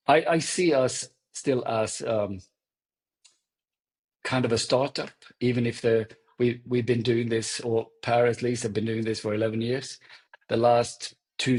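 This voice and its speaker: Swedish guy on a podcast, english isnt his first language, pauses a lot and thinks out loud, middle-pitched voice. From Sweden. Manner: halting, thoughtful storytelling. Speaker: guest, event company CEO. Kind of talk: podcast interview.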